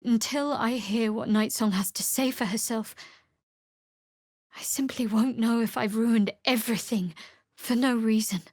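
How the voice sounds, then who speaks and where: Game role: secretive young female cleric, sardonic and guarded, lightly high register, very dry studio sound. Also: a little slow, darkish tone, no room sound. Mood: guarded, measured. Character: guarded, wry young woman cleric of a dark goddess. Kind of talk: fantasy video game voice acting.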